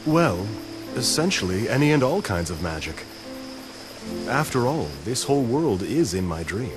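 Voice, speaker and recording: A man voicing a gentle, melancholy dreamer in a video game, speaking in a measured, courteous, earnest way. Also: medium pitch, very animated, close to an everyday voice. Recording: background music under voice.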